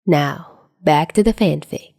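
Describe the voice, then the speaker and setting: A woman's voice: low-pitched, slow pace, creaky, a little mellow, no echo, clean studio sound. A woman narrating a story expressively, audiobook style, as a podfic reader.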